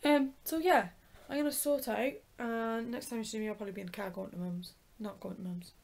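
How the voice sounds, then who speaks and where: A young woman with a croaky voice, chatting casually and rambling a little as she vlogs into a handheld camera. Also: a little high, steady tone, brisk, a little mellow. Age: young adult. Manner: rambling, chatty, self-correcting. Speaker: vlogger. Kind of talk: casual vlog chat to camera. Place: home bedroom, then a car. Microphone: handheld camera at arm's length. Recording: background noise from the car.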